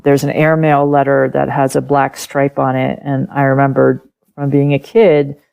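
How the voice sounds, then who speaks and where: Low gravelly-voiced German-native woman. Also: normal speed, narrow, tinny audio. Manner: candid, animated, acts out past conversations and laughs often. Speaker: author and podcast guest. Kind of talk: personal storytelling in an interview.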